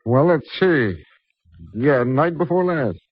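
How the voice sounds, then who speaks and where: Slangy offhand bartender, 1950s radio drama, muffled. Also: male voice, on the high side, expressive, slow, breathy edge, no room sound, heavily acted. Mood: offhand, chatty. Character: plain-spoken, easygoing male barkeep. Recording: muffled old radio broadcast.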